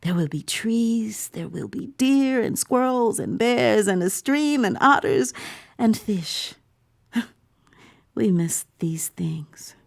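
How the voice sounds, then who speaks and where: A woman performing audio fiction as an awed theme-park visitor in a bleak future, mixing breathy wonder with wry understatement and often slipping into a whisper. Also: a little high, lively intonation, takes it slowly, heavily acted. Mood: wistful delight. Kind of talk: dramatic first-person audio fiction narration.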